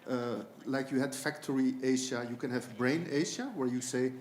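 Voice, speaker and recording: A man's voice: mid-range voice, normal speed, slight background noise. A man in the audience asking a long, rambling question, repeating "in fact". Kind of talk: question from the floor. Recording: roomy hall sound.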